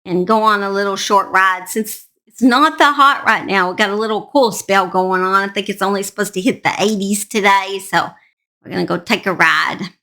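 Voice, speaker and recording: A woman's voice: medium pitch, moderate pace, sharp tone. An older woman with a Southern US accent, telling a true-crime story in a casual, folksy, emphatic way as a channel host.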